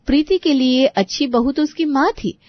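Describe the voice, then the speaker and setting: A woman's voice: high-pitched, normal speed, no echo, dull audio. A woman delivering scripted, dramatic story narration.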